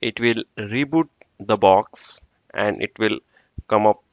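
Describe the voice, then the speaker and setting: A man with a low, smooth voice talks through a firewall-style tech walkthrough step by step, with small fillers between steps. The sound is dry and close, with a dull, slightly lo-fi tone. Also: slow, no echo. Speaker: network engineer instructor. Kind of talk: screen-recorded tech tutorial. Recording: dull dry lo-fi screen recording.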